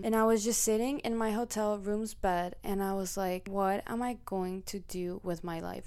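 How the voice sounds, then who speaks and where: A woman's voice: medium pitch, a little mellow, clean tone. A young woman with a Colombian accent hosting her own podcast, talking in a chatty, earnest, confiding way. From Mexico. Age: young adult. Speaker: podcast host. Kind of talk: casual solo podcast talk. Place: hotel room.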